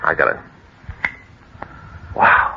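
A man's voice: slow, highs cut off, close to an everyday voice. Actor as an earnest young man, noisy old radio. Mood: take-charge. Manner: emphatic, theatrical, urgent. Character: earnest, protective young man, increasingly alarmed. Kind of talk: 1940s radio drama acting.

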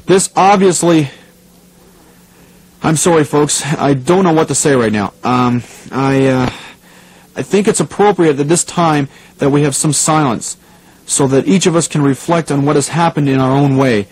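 A man playing a shaken radio news host in an audio drama, husky and trying to keep a formal composure. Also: a little high, a little mellow, close to an everyday voice, rough recording. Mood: stunned, grieving. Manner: halting, struggling to stay composed. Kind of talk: radio news broadcast acting.

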